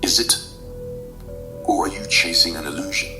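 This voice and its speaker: A man plays a menacing male presence from the abyss in a radio drama. The voice is extremely deep and taunting, with music clearly behind it. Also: fairly even intonation, normal speed, lightly acted.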